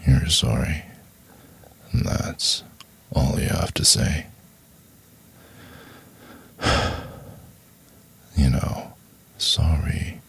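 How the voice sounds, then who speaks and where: Man playing cold gravelly very deep-voiced boss. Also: heavily acted. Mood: cold contempt. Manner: condescending, slow and deliberate, often half-whispered. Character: cold, contemptuous, domineering male CEO berating an intern. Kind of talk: intimate ASMR roleplay.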